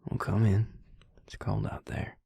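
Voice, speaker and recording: A man playing a gentle, self-deprecating, protective vampire in an intimate audio roleplay, speaking in a gravelly, breathy voice with a halting, softly teasing delivery. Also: on the low side, monotone, no room sound, whispered, heavily acted. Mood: soft, wry.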